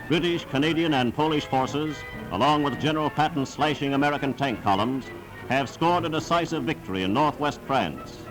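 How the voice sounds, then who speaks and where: A man's voice: a little high, moderate pace, music in the background, low-quality recording. A man narrating a scripted wartime newsreel in an emphatic, declamatory announcer's manner, on a vintage 1940s soundtrack.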